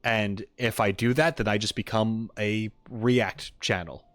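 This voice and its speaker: A man's voice: fairly low pitch, steady tone, measured pace, fairly bright tone, no echo. A man streaming casually to viewers, rambling through his thoughts and acting out quotes with emphasis. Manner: rambling, emphatic quoting of others. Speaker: streamer reacting to game videos. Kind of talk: casual chat with viewers, theorizing.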